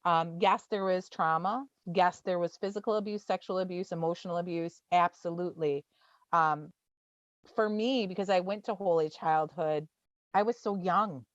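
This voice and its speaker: A forty-year-old woman talks through an online town hall in a loose, gentle way, leaning on ums. Her audio is dry and close, with dull video-call sound. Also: lowish voice, normal speed. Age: 40s. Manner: informal, gentle, with many fillers.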